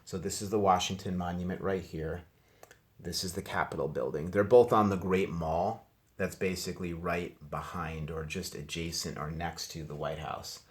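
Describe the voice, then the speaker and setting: Male read-aloud teacher, very deep voice, casual and simple explanations pitched at first graders. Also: fairly even intonation, moderate pace. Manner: explains pictures and words simply for young children. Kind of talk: children's picture book read-aloud with casual commentary.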